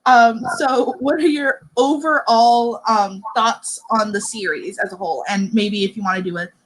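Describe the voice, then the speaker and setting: A young woman in her early twenties with a fairly high voice hosts a book discussion. She talks casually, as in a relaxed chat. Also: moderate pace, low-quality recording.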